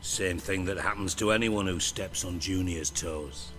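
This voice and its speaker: Low-voiced sardonic crime boss. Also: male voice, a little slow, fairly bright tone, soft background music. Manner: theatrical, sarcastic, wordy. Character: sardonic, foul-mouthed, menacing male underworld boss. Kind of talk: video game voice acting.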